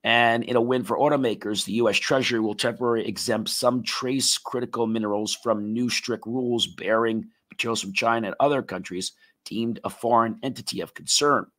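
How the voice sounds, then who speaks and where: A man hosting a live tech talk show, his voice a little raspy and tired, rambling with many fillers and restarts. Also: mid-range voice, steady tone, fairly quick, few pauses, a bit bright.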